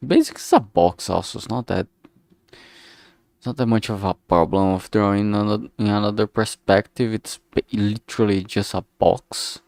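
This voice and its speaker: A man streaming as he works, thinking aloud casually in a halting way and muttering to himself. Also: lowish voice, creaky, darkish tone, no room sound. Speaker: streamer making a visual novel. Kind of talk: casual think-aloud art livestream commentary.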